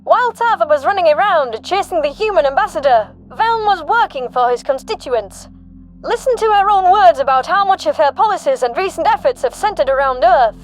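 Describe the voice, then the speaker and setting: A woman's voice: very high voice, expressive, brisk, soft background music, low-quality recording. A woman playing an indignant alien political ad narrator, with an accusatory, rhetorical delivery. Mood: pointed. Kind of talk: scripted political attack ad.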